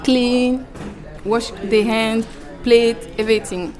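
A woman's voice: a little high, steady tone, slow pace, a little mellow. Young woman from Burkina Faso, over music. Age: 20s. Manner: friendly, laughing, searching for English words. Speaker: culinary student and interpreter.

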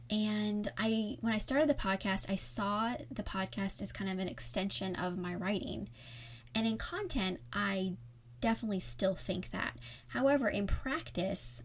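A woman hosts her own podcast alone at the mic, with a soothing voice that listeners often praise. She talks in a candid, reflective, conversational way, as if chatting with a friend. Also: mid-range voice. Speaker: podcast host. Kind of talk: solo podcast talk.

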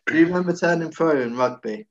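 A man's voice: on the high side, quick pace, muffled recording. A man hosting a sports interview, asking hesitant questions and answering with short affirmations. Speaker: sports podcast host. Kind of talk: interviewer, casual chat.

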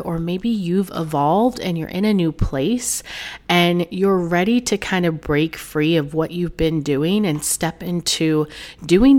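Woman, podcast host and coach, stuffy from a cold, lower voice, chatty and encouraging. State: congested from a cold. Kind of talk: solo podcast talk.

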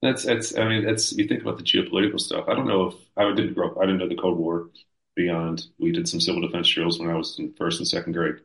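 A man's voice: low voice, level tone, fast talker, creaky, fairly bright tone, roomy sound, low-quality recording. A young man in casual podcast conversation, thinking aloud with hesitant restarts.

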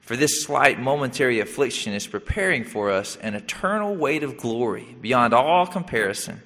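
A man in his thirties, a young adult pastor, preaching a sermon in a halting way, full of fillers and restarts. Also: mid-range voice, sharp tone, in a large hall. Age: 30s.

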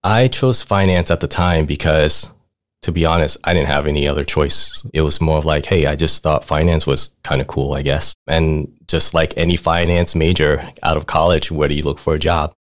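Very deep-voiced man telling his story. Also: fairly even intonation, normal speed. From Thailand. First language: Thai. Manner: laid-back, laughs often, lots of "like" and "right?". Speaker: guest, finance manager. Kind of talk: casual interview storytelling.

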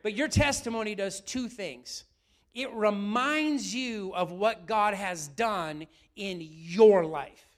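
Brief: middle-aged male pastor, high voice, slightly raspy, lively sermon that keeps asking questions. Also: slow. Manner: lively, asks the room questions often.

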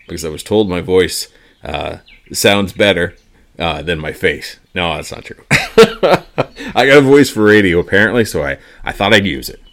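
Man, low voice, middle-aged, from Canada, podcast host, rambling, halting. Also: a bit bright. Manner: rambling, halting, many ums and uhs. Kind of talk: casual solo podcast monologue.

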